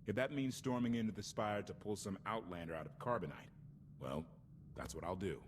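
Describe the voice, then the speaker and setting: An actor playing an earnest, wary rebel crew captain in a game, informal and emphatic. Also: male voice, fairly low pitch, fairly quick, background noise, lightly acted. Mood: sheepish, determined. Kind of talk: video game cutscene acting.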